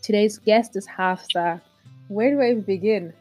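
A woman's voice: mid-range voice, moderate pace, darkish tone, faint music behind. A young woman hosting an interview show, friendly and casual, answering with brief affirming replies. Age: young adult. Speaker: host.

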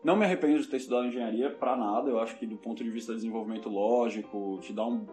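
A man's voice: mid-range voice, very fast, warm tone, faint music behind. A young man from São Paulo with a Paulista Brazilian Portuguese accent, reflecting as a guest in a casual podcast interview, often restarting his sentences. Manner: reflective, self-correcting, many restarts. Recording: roomy echo.

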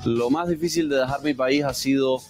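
Un hombre cubano de unos treinta años que da su testimonio personal con calma en un anuncio de servicio público. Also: male voice, mid-range voice, moderate pace, music in the background. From Cuba. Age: 30s. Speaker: testimonial speaker in a public service spot.

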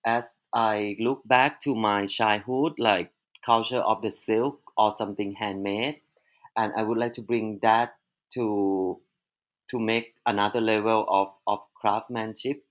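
Low-voiced Thai man, halting phrasing. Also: steady tone, fairly bright tone. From Thailand. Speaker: fashion designer. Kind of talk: interview answer.

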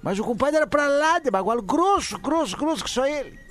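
A man with a very high, animated voice chats and tells a joke. His tone is loose and joking, and his pitch moves a lot. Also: brisk, soft background music. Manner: chatty, joking. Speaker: joke teller on a live stream. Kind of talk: casual joke telling.

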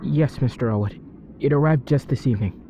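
A man's voice: mid-range voice, brisk, dark tone, dull audio, slight background noise, lightly acted. An actor in an audio drama playing a naive, deferential henchman with a hesitant, earnest manner. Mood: dutiful.

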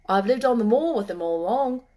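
A friendly, nature-wise moor boy as a woman narrator acts him out in a storybook. He speaks broad, earthy Yorkshire dialect with an easy assurance. The voice sits a little high. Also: expressive, fairly quick, warm tone, natural voice. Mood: warm, easy.

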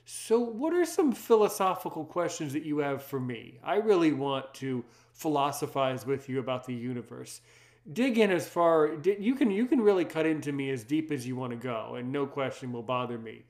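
A man in his thirties whose first language is French, talking in an earnest, reflective way in a relaxed conversation, with a deep voice. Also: normal speed. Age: 30s. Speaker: conversation partner. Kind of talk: casual chat.

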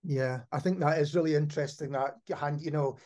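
A man with a voice a little higher than most hosts a podcast interview. He speaks conversationally, offering questions and reflections to his guest. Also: fairly even intonation, fairly quick, darkish tone.

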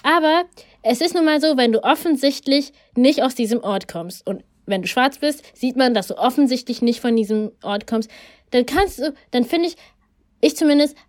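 A young woman co-hosting a relaxed chat, weighing her words thoughtfully and hedging her points. Her voice is somewhat high, with the occasional English phrase. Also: normal speed. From Germany. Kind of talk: casual chat.

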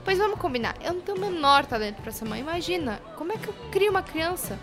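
A woman's voice: very high voice, big pitch swings, fast talker, music in the background. A Brazilian woman playing a bubbly, self-questioning young professional in an audio drama. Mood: self-reassuring. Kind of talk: audio drama dialogue and monologue.

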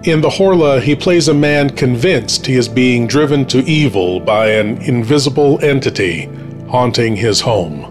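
A man presenting a spooky countdown as a horror host, reading in a measured, theatrical way with dramatic pauses. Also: mid-range voice, expressive, music in the background. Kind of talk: scripted spooky countdown narration.

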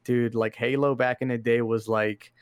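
A man's voice: medium pitch, fairly even intonation, normal speed, clear, resonant tone, dry recording, clean studio sound. A young man from Iraq, a podcast guest, talking video games in a laid-back, slangy way, often saying "man" and "dude". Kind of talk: casual interview chat.

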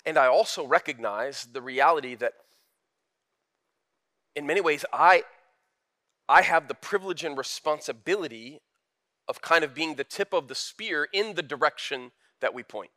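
A man's voice: on the high side, expressive, moderate pace, long pauses, sharp tone. A man preaching a sermon as pastor, candid and conversational, building to emphatic rises.

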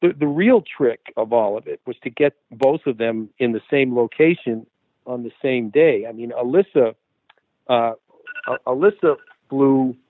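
A man's voice: medium pitch, a little slow. Man rambling over a tinny phone line. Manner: rambling, many restarts and fillers. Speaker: guest musician, guitarist. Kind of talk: casual interview talk.

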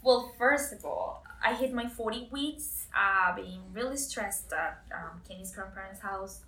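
A woman's voice: medium pitch, measured pace. Young woman, chatty storytelling, echoey room, distant mic. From Costa Rica. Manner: excited, chatty. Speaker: interview guest, first-time mother. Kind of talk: casual personal storytelling. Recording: roomy, distant mic, faint noise.

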